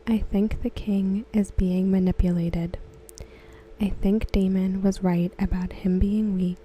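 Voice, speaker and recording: A woman reading aloud softly for ASMR, gentle and hushed, with a breathy voice that often slips into a whisper. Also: medium pitch, a little hiss or hum, rough recording. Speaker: ASMR host. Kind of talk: ASMR, soft-spoken reading of discussion comments.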